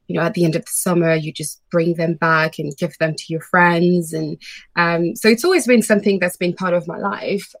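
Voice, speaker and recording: Low-voiced woman telling her story. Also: no room sound. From France. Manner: friendly, storytelling with many ums. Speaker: guest, brand founder. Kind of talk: casual interview chat.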